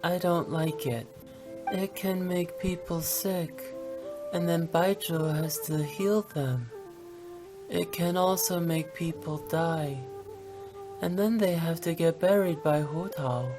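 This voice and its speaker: A small undead girl drags out every word in a flat, halting monotone. Her voice sits low for a girl and never lifts. Soft game music plays faintly behind. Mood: deadpan. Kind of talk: video game voice acting.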